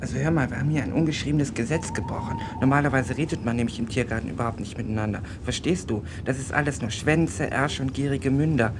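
A man's voice: fast, few pauses, close to an everyday voice. Man playing a naive, rambling teenage boy, noisy recording. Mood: earnest, chatty. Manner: rambling, apologetic, earnest. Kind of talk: German radio play dialogue. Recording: noisy, rumbling radio recording.

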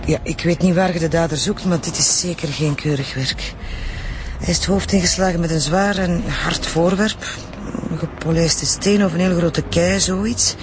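An actor with a Flemish accent playing a brisk, matter-of-fact male forensic doctor at a crime scene. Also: fairly high pitch, steady tone, background noise, close to an everyday voice. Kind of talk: radio drama acting.

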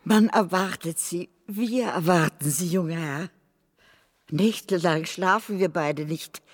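Husky old doorkeeper in reverberant hall. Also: female voice, on the low side, slow. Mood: anxious, devoted. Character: old female doorkeeper, deferential servant. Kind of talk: radio play acting. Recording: long reverberant hallway echo.

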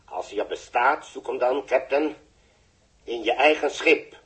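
A man acting a grave, authoritative chief engineer with a Dutch accent, speaking deliberately in a 1960s radio drama. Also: mid-range voice, expressive, a bit bright, low-quality recording. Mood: ominous, resolute. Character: grave, measured male chief engineer at mission base.

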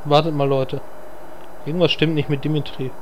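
A man acts a level-headed druid with a fairly high voice. He is dry and matter-of-fact in a comic radio play, over some noise. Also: level tone, fairly quick, natural voice, poor audio. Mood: dry, practical. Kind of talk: German fantasy parody audio drama. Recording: background noise.

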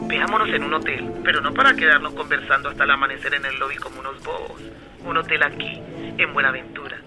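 A woman's voice: sits low, fast talker, music in the background, natural voice. An actress playing a flirtatious, teasing woman in a romantic radio drama.

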